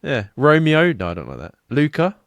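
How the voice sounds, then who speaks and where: A man's voice: medium pitch, huge pitch swings, moderate pace, dry recording. Giggly man, dry close sound. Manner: giggly, self-deprecating, swears casually. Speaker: podcast co-host. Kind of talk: casual banter.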